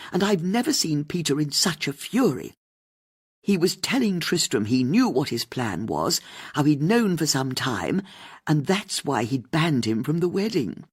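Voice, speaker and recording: A narrator playing a haughty, animated older English lady. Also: medium pitch, husky. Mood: gossipy, indignant. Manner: opinionated, brazen, disdainful. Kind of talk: audiobook character dialogue.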